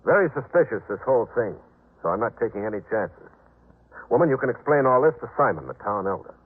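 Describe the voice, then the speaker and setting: Officious male night guard, husky and animated, blustering and distrustful, for vintage radio drama on a narrow, dated recording. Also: medium pitch, fast. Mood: stern, interrogating. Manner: taunting, blustering, interrogating. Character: suspicious, officious veteran male night sentry. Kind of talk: 1950s radio drama acting. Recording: muffled, band-limited 1950s radio recording.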